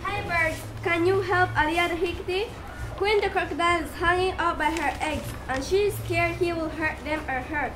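A woman's voice: very high voice, steady tone, moderate pace. A woman playing a small, helpful messenger butterfly in an amateur puppet show. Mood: earnest. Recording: noisy live puppet show.

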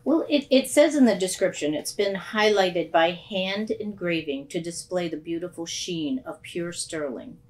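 An older woman presenting items on a shopping show, speaking softly and hesitantly with many ums, on a studio set with a lapel mic. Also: fairly low pitch, expressive, normal speed, few pauses. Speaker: shop presenter. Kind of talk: casual product chat.

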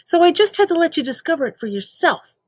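A woman voicing an excited, cocky young boy gamer in a storybook, enthusiastic, breezy and persuading. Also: very high-pitched, fast talker, no echo, clean studio sound, voice pushed up. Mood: eager, boastful.

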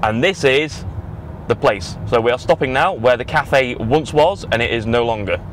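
A man's voice: mid-range voice, normal speed. A young British man talking casually as a vlogger in a car. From United Kingdom. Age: young adult. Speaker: vlogger driving on a road trip. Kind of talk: casual vlog chat. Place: inside a moving or parked car. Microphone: dashboard action camera. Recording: car and road noise.

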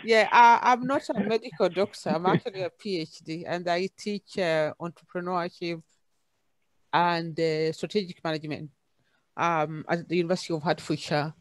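Kenyan woman speaking haltingly on a call. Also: fairly low pitch, steady tone, no echo, poor audio. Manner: halting, many fillers and restarts. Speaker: conference panelist, university lecturer. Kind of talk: unscripted panel discussion. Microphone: video call, camera off.

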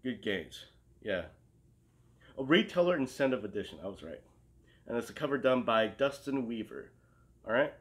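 A man's voice: mid-range voice, lively intonation, moderate pace, long pauses, a bit bright. A man in his thirties chatting casually as a show co-host in a living room, often searching for words. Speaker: co-host of a comic collecting show. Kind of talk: casual chat.

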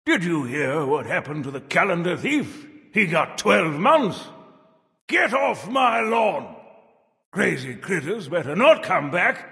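A man's voice: high voice, bright, forceful tone, full character voice. An actor voicing a jovial, cranky ancient Father Time in a video game, with a theatrical, hammy, jokey old-timer delivery. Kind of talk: video game character voice lines. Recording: long reverb on the voice.

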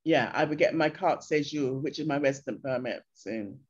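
A woman's voice: extremely low pitch, moderate pace, slightly rough. Older woman chatting on a video call. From England. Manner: animated storyteller, acts out voices of locals. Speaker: interview guest. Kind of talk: casual chat. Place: bright room at home. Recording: dull lo-fi video call audio.

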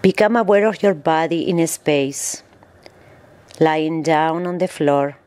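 A woman yoga instructor narrating a guided meditation in measured, instructional phrases with pauses. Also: low-pitched, a little mellow.

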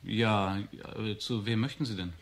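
An actor playing a courteous, slightly hesitant institute researcher in a radio play. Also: male voice, fairly low pitch, moderate pace, slight background noise, natural voice. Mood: polite, reserved. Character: polite, reserved male institute researcher. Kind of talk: German radio play acting.

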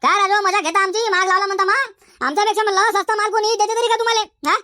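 Squeaky cartoon bazaar haggler, folksy and insistent, very high and bright, dry close sound. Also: steady tone, racing, dry recording. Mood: jovial teasing. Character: haggling, bantering cartoon chilli-market traders. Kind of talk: comedic cartoon dialogue in colloquial rural Marathi.

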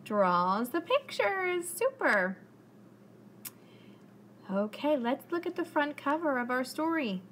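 Female preschool teacher, read-aloud with questions: encouraging, higher voice, very expressive, some room noise. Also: slow pace, long pauses. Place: indoors, school classroom.

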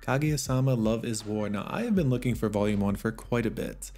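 A man's voice: medium pitch, a little fast, soft background music. Chatty man with a slightly worn voice. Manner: chatty, enthusiastic, laughs softly. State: voice a little worn. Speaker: manga vlogger. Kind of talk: casual vlog voice-over.

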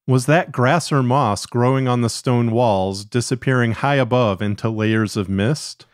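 A man's voice: mid-range voice, a little slow, no echo. Man narrating literary fiction, very dry sound.

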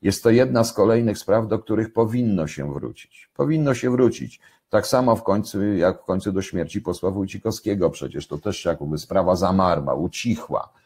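A man in his sixties from Poland giving unscripted live commentary, halting and deliberate, repeating phrases for emphasis, with a husky voice. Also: low voice, a little mellow. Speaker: commentator answering viewers' questions. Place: indoors, home kitchen.

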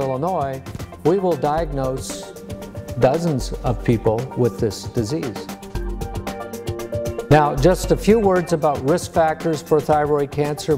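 An American man in his sixties, a surgeon explaining medicine to a lay audience in a measured, plain-spoken way. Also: medium pitch, dark tone. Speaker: surgeon giving a public lecture. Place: medium-sized lecture room.

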